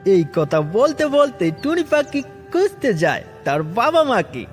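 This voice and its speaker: A man narrates a children's cartoon story in an unusually high voice. His pitch swings in a lively way, and long reverb trails his words. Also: measured pace. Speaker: narrator and channel host. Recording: heavy reverb with music.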